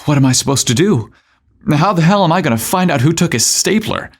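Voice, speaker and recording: Anxious, smitten mailroom guy for romance podcast, played by a male narrator: flustered, quick, pitched up. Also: lively intonation. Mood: panicked. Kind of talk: audio romance storytelling.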